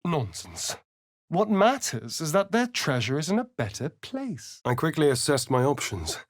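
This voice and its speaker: A sly vampire rogue for a fantasy video game. His delivery is flamboyant and unhurried, with wide, lively swings of pitch. He enunciates precisely, with an arch, teasing edge and a slightly husky voice in a very dry recording. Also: dry recording. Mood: dry, wry. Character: sly, theatrical male vampire spawn rogue, centuries old. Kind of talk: video game character voice lines and narration.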